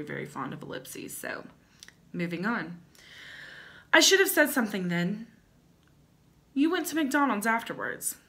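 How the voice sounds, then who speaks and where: A woman's voice: on the low side, fairly bright tone. Wry, theatrical young woman reading aloud. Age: young adult. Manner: playful, wry, theatrical emphasis. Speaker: host. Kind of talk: comedic dramatic reading with chatty asides. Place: small indoor room at home.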